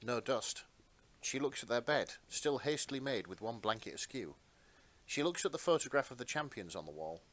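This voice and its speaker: A man narrating fan fiction as a podcast host, with expressive, sentimental storytelling. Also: mid-range voice, moderate pace.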